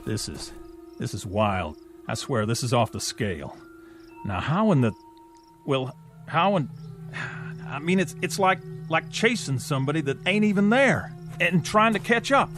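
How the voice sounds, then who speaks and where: A scoffing, blustering soldier in an audio drama, with a fairly high voice. His tone swings widely and lively through each line. Faint music plays underneath. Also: measured pace. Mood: unnerved. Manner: sarcastic, blustering. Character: skeptical wisecracking male army soldier. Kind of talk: radio drama acting.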